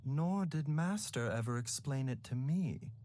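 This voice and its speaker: An actor playing a calm, enigmatic young male doctor in a video game, speaking in a measured, unhurried way with a sly, teasing edge. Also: medium pitch, a little hiss or hum, lightly acted. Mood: reflective.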